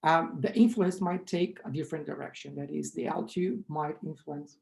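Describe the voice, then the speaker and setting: A hoarse, gravelly middle-aged man speaking English with a Brazilian accent, his tone dark and mellow. He lectures at a conference through a webcam in a small room, restarting phrases and asking "right?" as he moves through his slides. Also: a little high, moderate pace. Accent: Brazilian Portuguese. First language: Brazilian Portuguese. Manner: restarts and self-corrections, frequent "right?". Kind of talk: academic lecture over slides. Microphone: webcam on a video call.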